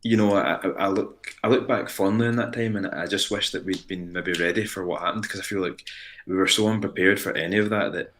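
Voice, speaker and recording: A Scottish man with a very deep, low voice speaks in a relaxed interview. He talks earnestly and rambles, restarting his sentences often as he works through his thoughts. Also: brisk, a little hiss or hum. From Ayrshire, Scotland. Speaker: musician guest. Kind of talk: casual interview chat.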